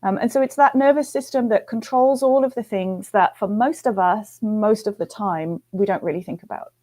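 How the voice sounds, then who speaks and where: A middle-aged woman from the UK with a light Northern English accent, a guest researcher explaining things in a relaxed, thoughtful way over a video call. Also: medium pitch, moderate pace. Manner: warm, laughing, thoughtful with fillers. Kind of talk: conversational explaining.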